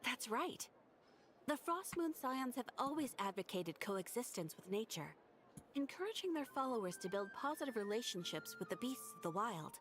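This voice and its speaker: Polite formal receptionist lady, lively tone, explains stuff patiently. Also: on the high side, moderate pace, slight background noise. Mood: courteous. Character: polite adult female guild receptionist, helpful and formal. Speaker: guild receptionist. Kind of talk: video game dialogue. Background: game music.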